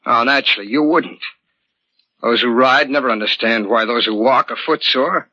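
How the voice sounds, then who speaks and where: A man's voice: medium pitch, moderate pace, sharp tone. A man playing a bitter, neurotic, jealous brother with intense, accusing 1940s radio drama delivery. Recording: muffled 1940s radio broadcast.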